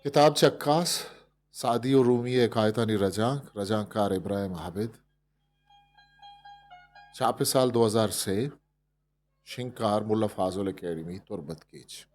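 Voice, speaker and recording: A man with a Balochi accent reading a fable as a storyteller, in an expressive scripted narration. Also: on the low side, a little slow, long pauses. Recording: music behind the voice. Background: instrumental music.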